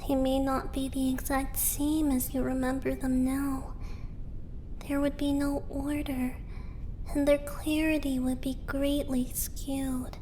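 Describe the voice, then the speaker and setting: A woman playing a thoughtful, analytical female sage in an audio roleplay, measured and articulate. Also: high-pitched, little pitch movement, warm tone, slight background noise, low-quality recording. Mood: cautious, analytical.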